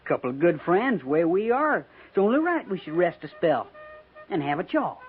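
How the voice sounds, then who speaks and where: A man's voice: high voice, big pitch swings, moderate pace. An American man playing a restless, querulous young cavalry trooper with a folksy frontier drawl in a 1950s radio western. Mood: coaxing. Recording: tinny, noisy 1950s radio recording.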